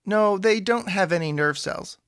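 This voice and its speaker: A man playing an anxious, awkward young man in an audio drama, sheepish and rambling with deadpan jokes and a creaky voice. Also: sits high, measured pace, no room sound, studio quality. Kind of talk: audio drama dialogue, conversational.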